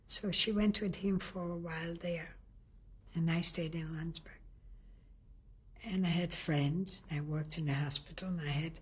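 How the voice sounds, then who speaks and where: An older woman from Lithuania giving an oral history testimony in a halting way, often restarting and searching for words. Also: low voice, steady tone, slightly rough. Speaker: interviewee.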